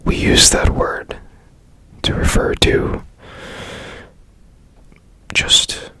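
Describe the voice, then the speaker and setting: A man as a whispering, mysterious, slow-talking repairman. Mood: hushed, reassuring. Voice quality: breathy whisper. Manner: slow, hushed, polite, halting. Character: strange, secretive, curious repairman, gently reassuring. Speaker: ASMR roleplay performer. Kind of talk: whispered ASMR roleplay.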